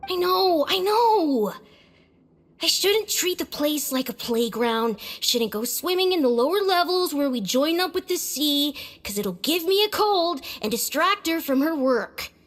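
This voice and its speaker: A woman's voice: high-pitched, expressive, unhurried. A woman voicing a sulky, bratty young sick boy in a video game, whining and grumbling. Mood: sulky, annoyed. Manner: whiny, grumbling. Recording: music behind the voice.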